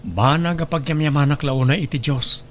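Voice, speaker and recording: Man acting an earnest young grandson, higher-pitched. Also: moderate pace, slight background noise, close to an everyday voice. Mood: earnest, grateful. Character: dutiful, grateful young student grandson, earnest and affectionate. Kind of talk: Ilocano radio drama acting.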